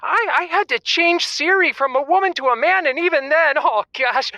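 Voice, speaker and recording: An actor playing a nervous, sexually frustrated bachelor who stammers as a caller in a comedic radio audio drama. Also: male voice, extremely high pitch, sharp tone, dry recording, clean studio sound, exaggerated voice. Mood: desperate. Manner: stammering, flustered, halting.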